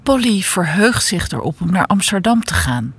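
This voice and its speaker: A woman narrating a documentary in a calm, measured way. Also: on the low side, husky. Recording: music underneath.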